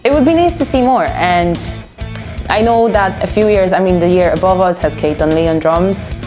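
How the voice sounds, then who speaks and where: A young woman with a low voice speaks casually in an interview. She comes across as earnest and opinionated, and music plays clearly behind her. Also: normal speed. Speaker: music student and band musician being interviewed.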